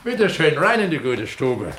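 An actor playing a modest, colloquial mine worker and amateur writer in a German radio drama from the 1970s. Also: male voice, a little high, very animated, measured pace, a bit bright, a little hiss or hum. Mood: hospitable, matter-of-fact. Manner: plain, colloquial, unassuming. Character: plain-spoken working-class miner, modest amateur writer and host.

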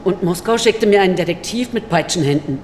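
A woman's voice: fairly low pitch, lively intonation, a little fast, a little mellow, slight background noise, close to an everyday voice. An actress playing a cold, commanding Soviet woman scientist who guards a state secret in a radio drama. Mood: cold, mocking. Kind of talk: German radio drama acting. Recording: reverberant hall, music underneath.

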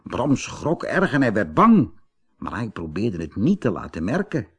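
A man narrating a scripted fairy tale for an audiobook in an expressive, dramatic storytelling manner, with a husky voice. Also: on the low side, a little slow.

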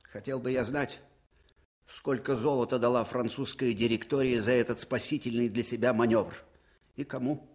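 An actor playing a shrewd, fervent elderly military commander in a radio drama, with emphatic, theatrical delivery that shifts abruptly. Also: male voice, medium pitch, fairly even intonation, normal speed, a little mellow, natural voice. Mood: brooding, confiding. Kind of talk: Soviet radio drama acting.

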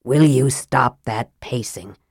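A frail, bitter young male mage, cool and sibilant, talking down to everyone with a peevish edge, as a woman reads him in a low, dry audiobook voice. The tone stays controlled and cutting, never loud. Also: unhurried, no echo. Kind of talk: audiobook character voice.

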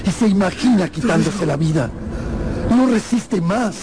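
A man's voice: sits high, expressive, unhurried, background noise. A man narrating a radio drama with suspenseful, emphatic storytelling. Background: loud music.

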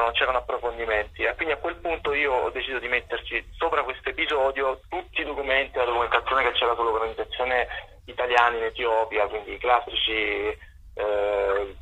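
A man with a husky voice giving conversational, hesitant and discursive answers as a radio interview guest. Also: medium pitch, pushed and bright, poor audio. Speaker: reporter, playwright and director, interview guest.

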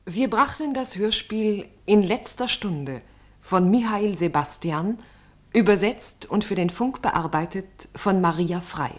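Female announcer for scripted radio credits: formal, measured, notably slow delivery, medium register. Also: medium pitch, lively intonation.

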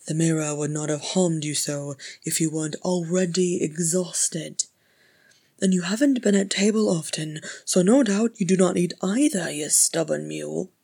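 A woman narrator voicing a gruff old male dwarf healer, scolding and exasperated, in a storybook reading. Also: fairly high pitch, little pitch movement, a little slow, few pauses, darkish tone. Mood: brusque scolding.

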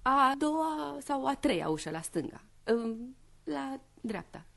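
An actress playing a flustered, evasive young woman in a radio play, breathy, with a hesitant, guarded and polite manner. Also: high voice, sing-song, a bit bright, heavily acted. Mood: apologetic, hesitant.

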